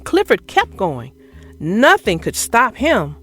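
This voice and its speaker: A woman narrating a children's picture book as an expressive, theatrical read-aloud. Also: fairly high pitch, takes it slowly, slightly rough, faint music behind.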